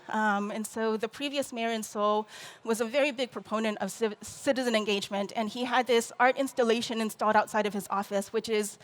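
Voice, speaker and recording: A woman with a slightly high voice gives a conference presentation. She explains things earnestly, often restarting phrases and pausing with "um". Her delivery is thoughtful and steady. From Taiwan. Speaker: conference speaker.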